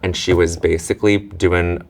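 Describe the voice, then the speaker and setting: A man in his thirties, a comedian guest chatting playfully in a makeover studio, quick and full of likes and restarts. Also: low voice, level tone. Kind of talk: playful casual chat.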